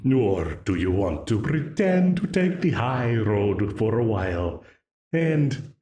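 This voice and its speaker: Menacing demon voiced by a man for an audio drama: mocking, condescending, slightly low voice, unhurried. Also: sing-song, mellow, roomy sound. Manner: mocking, condescending, laughs often. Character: smug ancient male demon dealmaker, menacing. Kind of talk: ASMR audio roleplay.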